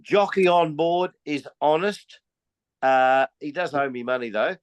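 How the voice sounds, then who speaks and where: A man's voice: on the high side, measured pace, clean tone, no echo. Wry, joking Australian man on a dry mic. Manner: joking, wry, throws in banter. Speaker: racing tipster co-host. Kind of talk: casual podcast chat about horse racing.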